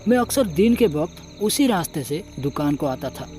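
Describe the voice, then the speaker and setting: A man narrating a scripted first-person horror story in a dramatic, suspenseful way with deliberate pauses. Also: fairly high pitch, big pitch swings, over music.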